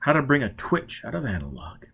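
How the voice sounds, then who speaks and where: A man's voice: medium pitch, sing-song, brisk, vocal fry, a bit bright, slightly rough, full character voice. A man reading a story and voicing a brutal, driven spaceman who barks clipped, demanding lines. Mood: clipped, cool. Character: brutal, driven male spaceman interrogating with growling menace.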